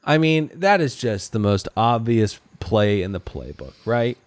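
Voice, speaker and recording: A man's voice: fairly low pitch, animated, unhurried, no echo. A man co-hosting a comedic TV recap podcast, chatting casually in a sarcastic, mocking way. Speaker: podcast co-host.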